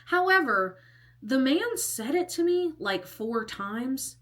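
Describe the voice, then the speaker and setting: A woman's voice: sits high, sing-song, slow, fairly bright tone. A woman in her thirties telling a personal story in a casual, rambling way, full of "like" and the odd whispered aside. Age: 30s. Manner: chatty and rambling, heavy use of "like", with whispered asides. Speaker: storytime vlogger.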